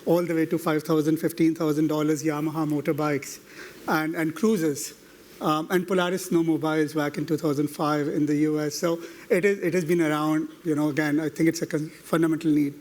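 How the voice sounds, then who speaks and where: A man with a fairly high voice speaks as a panelist at a conference. He lays out his thoughts confidently in ordered points, with a few restarts. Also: steady tone, a little fast, a little mellow. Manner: confident, explanatory, structured points. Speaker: banking executive, panelist. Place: conference stage.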